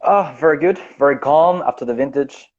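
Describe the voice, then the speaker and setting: A man born in Chile, a guest winemaker speaking over a video call, unhurried and often restarting or correcting himself. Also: fairly high pitch. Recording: dull lo-fi video call audio.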